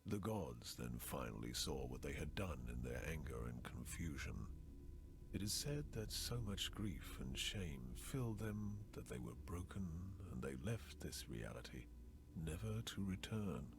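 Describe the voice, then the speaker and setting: An actor playing a world-weary, brooding narrator of a ruined fantasy land in a video game intro, grave and theatrical, with hushed word endings. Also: male voice, a bass voice, very animated, a little slow, gravelly, noisy background, exaggerated voice. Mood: somber, brooding. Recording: loud music under the voice.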